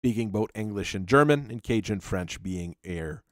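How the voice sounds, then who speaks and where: A man hosting a channel, working through tabletop character creation while thinking aloud and reading rules out, with halting pauses. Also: lowish voice, animated, dry recording, studio quality. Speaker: channel host.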